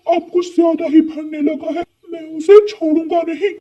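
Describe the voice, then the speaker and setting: A man voicing an excitable, feisty male parrot in a children's cartoon, with a squeaky voice. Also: normal speed, recorded from a distance. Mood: angry, vengeful. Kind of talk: Hindi cartoon story acting.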